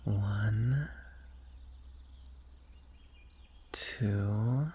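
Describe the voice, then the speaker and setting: A man's voice: on the low side, slight background noise. A man voicing a teasing, coaxing dragon with a smug streak of menace, in a fantasy ASMR roleplay. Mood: softly menacing. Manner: slow, coaxing, softly threatening.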